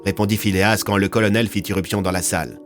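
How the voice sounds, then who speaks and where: A man's voice: fairly low pitch, fairly even intonation, fast, soft background music. A man narrating a scripted audio fiction story.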